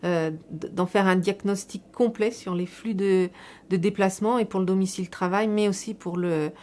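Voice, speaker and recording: Woman explaining in a measured way. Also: mid-range voice, steady tone, darkish tone. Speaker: local elected official presenting a public project. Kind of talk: semi-prepared explanatory interview testimony.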